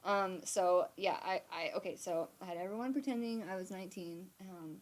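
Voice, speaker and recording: Woman in her thirties, rambling storyteller with a slightly low voice, lots of fillers and restarts. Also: moderate pace, poor audio. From Montana, USA. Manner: swears casually. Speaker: live storytime streamer. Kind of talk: casual storytelling livestream. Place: home, indoors. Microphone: phone or webcam on a live stream.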